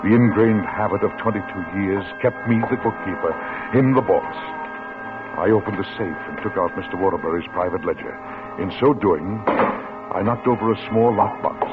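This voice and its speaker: A man's voice: fairly low pitch, moderate pace, close to an everyday voice. An actor playing a timid middle-aged bookkeeper who narrates his own story, hesitant and stammering, in old-time radio drama style. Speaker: narrator and lead. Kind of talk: 1940s radio acting. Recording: tinny old radio broadcast with music.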